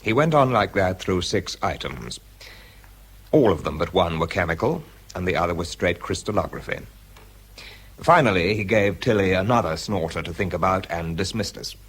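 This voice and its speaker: A man playing a troubled British scientist who narrates a radio drama in a confiding, measured, husky voice. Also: low-pitched, expressive. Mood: wry. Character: weary, troubled British male scientist narrating his story. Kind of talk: dramatised first-person narration.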